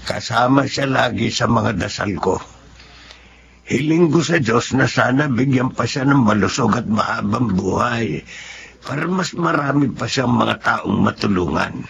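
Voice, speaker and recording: An actor playing a humble, earnest and grateful old grandfather with a creaky voice in a Filipino radio drama. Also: medium pitch, animated, unhurried, fairly bright tone. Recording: noisy radio drama audio.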